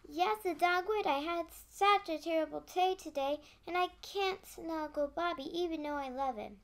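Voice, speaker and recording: A little schoolgirl in a family radio play. Her voice is high and youthful, and she speaks with earnest, pleading sincerity. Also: normal speed. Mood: upset. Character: earnest second-grade girl, homesick and pleading.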